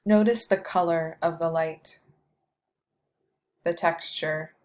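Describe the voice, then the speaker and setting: A woman guiding a meditation with scripted, poetic narration, delivered in a measured way with long pauses. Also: sits low, fairly even intonation, a little mellow. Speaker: meditation guide.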